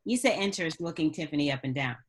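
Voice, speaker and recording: A woman's voice: low-pitched, lively intonation, fast, breathy edge. A woman narrating stage directions at a script table read.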